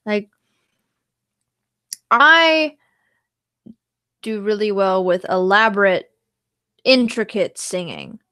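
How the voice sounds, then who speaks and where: A woman's voice: mid-range voice, sing-song, slow pace, long pauses, sharp tone, clear, resonant tone, no echo, clean studio sound. A young woman streaming casually, rambling about singing and breaking into short song snippets. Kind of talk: casual livestream chat with singing.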